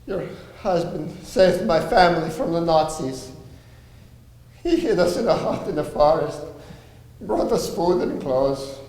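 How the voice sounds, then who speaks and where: A stage performer playing a proud, insistent war survivor in a play. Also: female voice, on the low side, lively intonation, measured pace, mellow. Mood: wistful. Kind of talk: live stage play dialogue. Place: theatre stage, recorded from a distance. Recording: echoey hall from afar.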